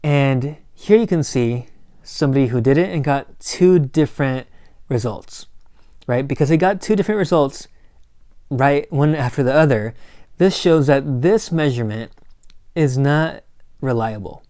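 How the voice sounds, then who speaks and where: A man giving a recorded college lecture in a casual, joking way, his voice slightly hoarse, often checking in with "right?". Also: medium pitch, unhurried, warm tone, no room sound, studio quality. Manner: casual, corny asides, frequent "right?" and "um". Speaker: college instructor.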